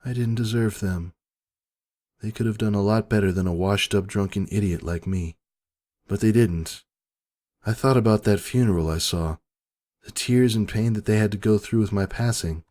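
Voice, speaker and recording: A man's voice: on the low side, normal speed, mellow. A man giving an earnest, solemn dramatic narration of a first-person story. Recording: background music.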